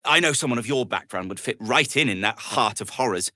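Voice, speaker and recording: A man's voice: mid-range voice, expressive, brisk, bright, forceful tone, no room sound, studio quality. A male actor playing a world-weary, prim gnome in a video game, wry and exasperated, with formal wording. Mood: grave, worried. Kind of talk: video game character dialogue.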